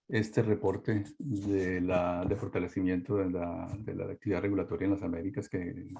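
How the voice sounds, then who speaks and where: A man presenting a webinar talk, halting with many fillers and restarts, over a video-call mic. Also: lowish voice, warm tone. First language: Spanish. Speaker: webinar speaker. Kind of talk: hesitant presentation. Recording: dull lo-fi webinar audio.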